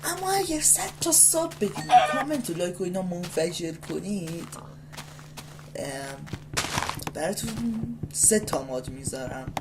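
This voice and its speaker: Animated Iranian gamer with loud background noise. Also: female voice, fairly low pitch. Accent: Iranian Persian. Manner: rambling, repeats short phrases excitedly. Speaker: gaming video host. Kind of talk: casual gameplay commentary, talking to self and viewers.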